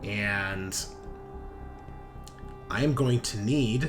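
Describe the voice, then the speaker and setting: A man's voice: fairly low pitch, very slow. A man narrating a tabletop roleplaying game as game master, improvising in a casual, conversational way. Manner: casual, conversational, acts out characters' lines. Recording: loud background music. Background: music.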